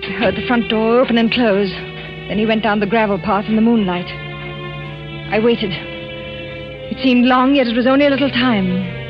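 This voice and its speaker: An actress playing an anxious, fearful French wife in a 1940s radio thriller, halting and dramatic. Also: female voice, on the high side, close to an everyday voice. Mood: hushed dread. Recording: muffled vintage radio recording with music.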